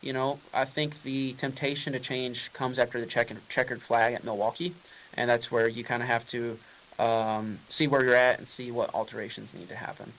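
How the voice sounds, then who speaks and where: A male race driver answers questions at a press conference, rambling casually and restarting his sentences. His voice sits at an everyday middle pitch, with a faint hiss behind it. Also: little pitch movement, moderate pace, a bit bright, low-quality recording.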